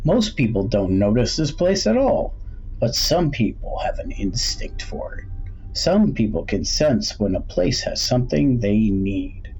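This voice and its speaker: A man voicing a middle-aged male antique shopkeeper in a suspense storybook, mysterious and unsettlingly pleasant, welcoming, measured and cryptic. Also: medium pitch, big pitch swings, a little mellow, slightly rough, exaggerated voice. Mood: pleased, cryptic. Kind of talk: storybook character voice in suspense tale. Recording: dull recording with faint background noise.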